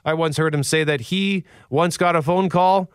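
A man with a deep voice hosting a casual radio show, telling a story in a lively, exasperated way. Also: moderate pace, smooth. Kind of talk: casual morning radio chat. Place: radio studio.

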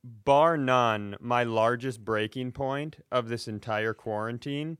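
Male co-host, Minnesotan by upbringing, casual podcast talk, crisp tone, very dry recording. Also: mid-range voice, slow, a bit bright, no room sound.

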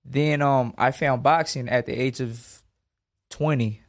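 A man's voice: mid-range voice, steady tone, slow pace. A man in his twenties from Los Angeles, a boxer talking casually in an interview, full of slang and emphatic asides. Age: 20s. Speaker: boxer guest.

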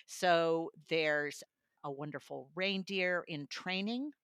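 A woman talks through a book review in a conversational way. Her voice is pitched low yet sounds bright and crisp-edged, recorded very dry. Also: slow pace, no room sound. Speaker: audiobook reviewer, studio guest.

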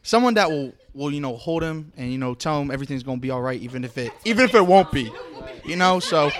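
A young man in his twenties talks in a slightly high voice. He is easygoing and full of "you know"s as he jokes about his friend on a casual podcast. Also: lively intonation, fast, a bit bright. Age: 20s. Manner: laid-back, lots of "you know". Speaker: podcast guest. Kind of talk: joking casual chat.

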